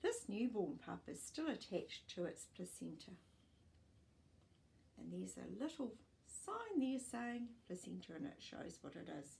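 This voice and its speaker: An older woman reads a non-fiction book to children, pausing to explain things in clear phrases. Her delivery is slow and animated, with pitch that rises and falls freely. There is some creak in her voice. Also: slight background noise. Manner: expressive, clearly phrased, explaining to young listeners. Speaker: storytime host reading aloud. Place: small furnished living room, indoors.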